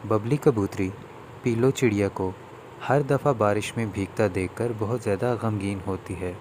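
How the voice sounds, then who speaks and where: A man with a fairly low voice narrates a children's story in a scripted storytelling style. Clear background noise, like outdoor ambience, sits behind his voice. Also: normal speed, darkish tone, low-quality recording.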